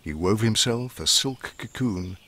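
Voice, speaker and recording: Low-pitched, animated male storyteller. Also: unhurried, husky. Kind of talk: rhyming children's bedtime story narration.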